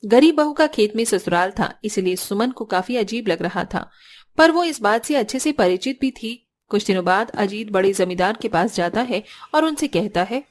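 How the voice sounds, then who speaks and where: A woman reading scripted narration for an animated family story, as the storyteller. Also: medium pitch, normal speed.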